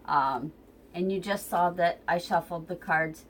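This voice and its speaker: A woman's voice: lowish voice, measured pace, slight background noise, poor audio. A woman with a stuffy, runny nose giving a casual, rambling tarot reading at home near her kitchen, recorded on a tripod camera, with plenty of ums. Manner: chatty, rambling, many ums. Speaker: tarot reader.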